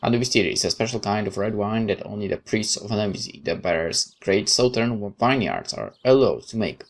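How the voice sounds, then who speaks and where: A man's voice: lowish voice, lively intonation, brisk. A man reading a visual novel aloud as narration, dropping in casual asides of his own.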